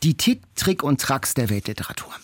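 A man's voice: mid-range voice, sing-song, a little fast, no echo, studio quality. A man speaking as a podcast co-host, chatting informally and reacting playfully. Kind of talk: casual chat and banter. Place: podcast studio.

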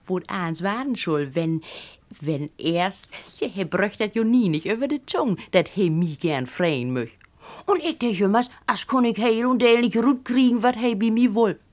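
Woman performing a feisty Low German village storyteller. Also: a little mellow. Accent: Low German, northern Germany.

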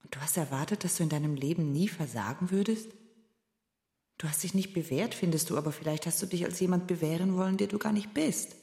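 An actress playing a thoughtful young woman in love in a radio play, soft, probing and gently challenging. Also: lowish voice, quick pace, gravelly, reverberant, long reverb tail.

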